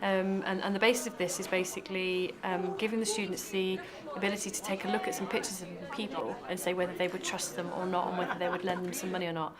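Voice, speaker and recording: A woman organiser explains workshop activities to camera as in an interview. Her voice is fairly low and flat in intonation, with a crowd clearly heard behind. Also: fast, few pauses.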